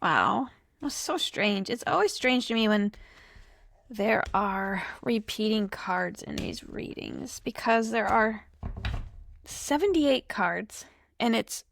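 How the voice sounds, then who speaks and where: A slightly hoarse woman giving a casual tarot reading to camera, chatty and reflective, thinking aloud as she goes. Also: mid-range voice, no room sound. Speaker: tarot reader.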